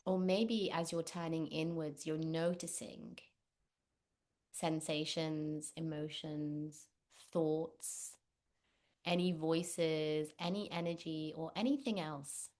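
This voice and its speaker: A woman leading a guided meditation, speaking gently and unhurriedly with long pauses. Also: sits low, steady tone. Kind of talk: guided meditation, spoken instruction.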